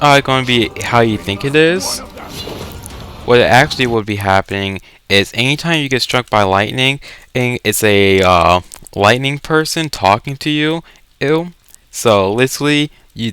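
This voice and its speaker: A man's voice: on the low side, measured pace, a bit bright, clear, resonant tone. A man chatting casually while gaming, with a playful, teasing, joking way of talking over online voice chat. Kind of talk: casual chat during online game play.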